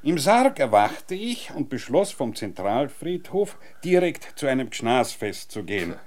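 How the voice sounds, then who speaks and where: An eccentric scientist in an absurd radio comedy. He lectures in a grand, theatrical way, his medium-pitched voice rising and falling in lively sweeps. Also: measured pace. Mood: pompous, matter-of-fact. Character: eccentric, naked time-theorist scientist back from apparent death. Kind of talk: absurd comic radio drama acting.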